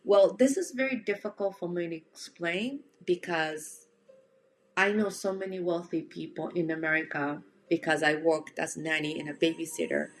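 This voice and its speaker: A woman's voice: lowish voice, fairly even intonation, moderate pace, poor audio. A woman from Japan with a Japanese accent, giving casual, direct instruction as a home organizing coach and speaking to the listener in an encouraging, plain-spoken way.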